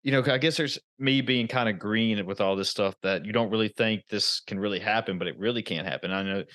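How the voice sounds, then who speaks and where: A man's voice: lowish voice, fairly quick, fairly bright tone, no room sound, studio quality. A man from rural Virginia hosting a casual interview, talking in a rambling, informal way. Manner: rambling, informal, with many fillers. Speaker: podcast host.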